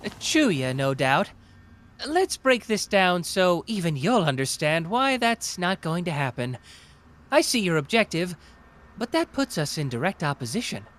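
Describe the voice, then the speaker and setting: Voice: mid-range voice, sing-song, a little slow, a bit bright. A performer playing a cocky, playful young male genius detective in an anime roleplay, taunting and analytical in a theatrical way. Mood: smug taunting. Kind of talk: anime roleplay audio drama, deduction monologue.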